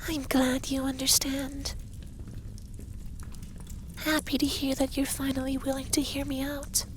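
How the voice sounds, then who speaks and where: A man playing a possessive, stern but caring effeminate dragon lover in an intimate ASMR roleplay, soft and breathy with whispers. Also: extremely high pitch, completely flat delivery, measured pace, a little hiss or hum. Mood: drowsy, firm. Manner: commanding, teasing, tender.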